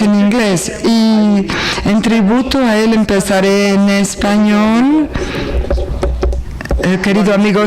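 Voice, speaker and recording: A man interpreting an academic lecture live, speaking in halting phrases. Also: high-pitched, darkish tone, poor audio.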